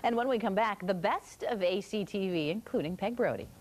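A woman's voice: medium pitch, a little fast, darkish tone, faint music behind. A woman anchor in her thirties trading playful questions and banter with a reporter live. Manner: playful, teasing. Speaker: news anchor. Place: indoor TV news studio.

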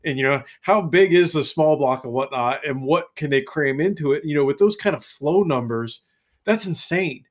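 Relaxed, slightly high-voiced co-host. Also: male voice, moderate pace. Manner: relaxed, rambling. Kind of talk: casual podcast chat. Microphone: headset.

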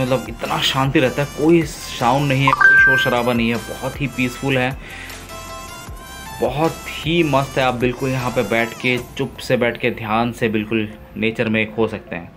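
A man narrates a casual travel vlog in a relaxed, enthusiastic way and pauses often on fillers. He speaks at a middle pitch into a handheld phone outdoors, with faint music under him. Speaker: travel vlogger. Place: outdoors on a river boat and island.

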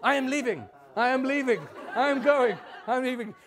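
A man's voice: on the high side, sing-song, a little fast, gravelly, a faint crowd. A man voicing a cunning, demanding old wild woman magician in a storytelling performance. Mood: demanding, dramatic.

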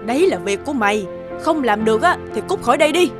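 A woman plays a cruel middle-aged fairy tale stepmother. She scolds harshly and scornfully, her fairly high voice swinging widely in pitch. Music is clearly heard behind her. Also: lively intonation, fast, lightly acted. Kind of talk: dubbed cartoon fairy tale acting.

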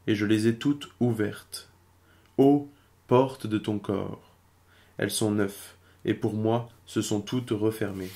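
Male amateur poetry reader: low, creaky, solemn and measured delivery. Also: warm tone.

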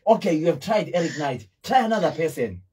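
A man's voice: fairly high pitch, sing-song, fairly quick, a little mellow, husky. A middle-aged man hosting a radio interview from a small studio, teasing his guest and repeating words for emphasis. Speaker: radio show host. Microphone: studio mic with headphones.

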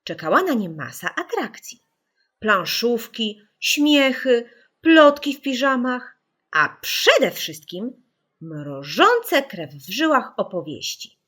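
A woman reading a children's audiobook as an expressive storyteller, putting dramatic emphasis on key words. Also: on the high side, slow pace, slightly rough.

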